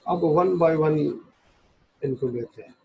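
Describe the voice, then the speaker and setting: A man's voice: on the high side, takes it slowly, husky. A man giving an explanatory law lecture, methodically setting out points in short separate phrases and switching between Hindi and English. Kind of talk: explanatory lecture, reading and explaining legal text. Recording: dull, muffled lo-fi recording.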